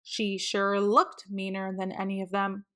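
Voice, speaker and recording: A woman reading a children's storybook aloud in an expressive, deliberate way with dramatic emphasis. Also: medium pitch. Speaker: children's book reader.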